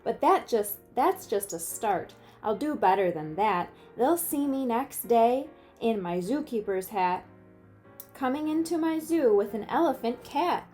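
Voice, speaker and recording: Woman, rhyming read-aloud, expressive emphasis, soft warm tone, a little high-pitched. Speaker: teacher reading a picture book aloud.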